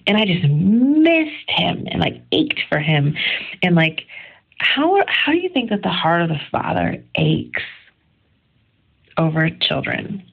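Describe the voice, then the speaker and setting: A woman's voice: lowish voice, sing-song, takes it slowly, gravelly, slightly rough, phone-quality sound. A middle-aged woman giving an informal, earnest devotional reflection on scripture in a conversational, emphatic way.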